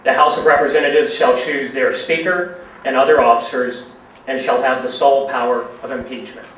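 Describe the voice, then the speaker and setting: A man's voice: medium pitch, steady tone, fairly quick, fairly bright tone. Male reader of formal legal text, far from the mic, strong room echo. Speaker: guest reader. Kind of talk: formal reading aloud of a legal document. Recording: very echoey, distant, faint noise.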